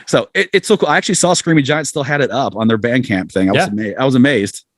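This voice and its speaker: A man's voice: medium pitch, fast talker, dry recording, clean studio sound. A middle-aged man chatting casually as a podcast guest, telling anecdotes in a self-deprecating way and acting out quotes. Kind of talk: casual anecdotal interview chat.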